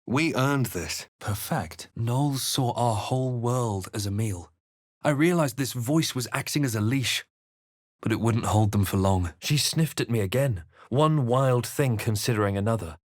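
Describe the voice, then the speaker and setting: An actor playing a brooding fantasy adventurer in a game, narrating his quest in a grave, measured, deliberate way. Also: mid-range voice, animated, dry recording, clean studio sound. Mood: grave, pensive. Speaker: video game player-character voice. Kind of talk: dramatic first-person game narration.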